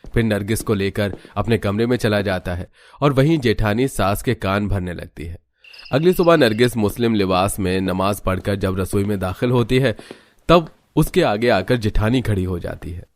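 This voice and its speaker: A man's voice: lowish voice, lively intonation, normal speed, a little mellow, a little hiss or hum. A man narrating a scripted family story in storybook style.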